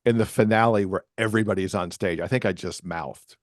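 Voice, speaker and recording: A man with a low bass voice talks casually as a podcast guest, recorded dry and close. His manner is self-deprecating and conversational. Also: brisk, no echo. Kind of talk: casual chat.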